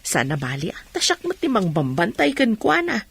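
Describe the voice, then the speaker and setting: Husky, patient village mother. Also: mid-range voice, huge pitch swings, normal speed, fairly bright tone, heavily acted. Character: patient, forgiving village mother and younger sister. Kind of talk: Ilocano radio drama acting.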